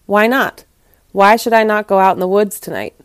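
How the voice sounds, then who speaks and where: A woman's voice: medium pitch, moderate pace, smooth, dry recording, clean studio sound, lightly acted. A woman playing a bold, questioning young maiden in a storybook tale. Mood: defiant. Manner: defiant, inquisitive.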